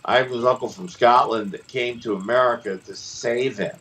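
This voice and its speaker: A middle-aged man and former wrestler hosting a podcast, telling stories in a rambling, animated way with lots of restarts. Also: mid-range voice, normal speed, low-quality recording. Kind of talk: casual storytelling chat.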